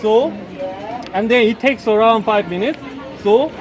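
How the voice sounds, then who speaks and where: High-pitched Korean-accented male tour guide, crowd around. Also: highs cut off. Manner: halting, instructive, often checks understanding. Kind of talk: explaining a route to tourists. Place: outdoors at a busy tourist site. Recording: crowd chatter, outdoor live capture. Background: crowd noise.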